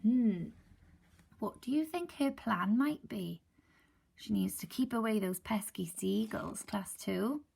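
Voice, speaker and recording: A woman primary school teacher reads a picture book aloud to her class. She pauses for gentle, soft asides to ask the children questions. Her voice has a light gravelly texture. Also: mid-range voice, animated.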